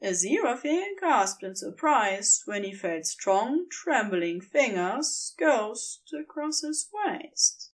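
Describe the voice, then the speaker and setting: A woman narrating scripted fiction in an expressive, dramatic storytelling manner. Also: a little high, slow, few pauses.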